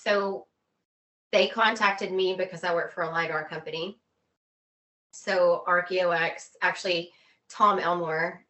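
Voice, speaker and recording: A woman's voice: on the low side, pushed and bright. A woman chatting casually and informally as a guest in an interview. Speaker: guest, expedition group co-founder.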